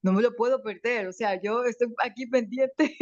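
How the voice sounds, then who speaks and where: Woman, slight foreign accent, tearful and grateful, halting restarts, dry muffled video call. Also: a little high, darkish tone, dry recording. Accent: slight foreign accent in Spanish. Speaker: webinar participant. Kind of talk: emotional personal testimony.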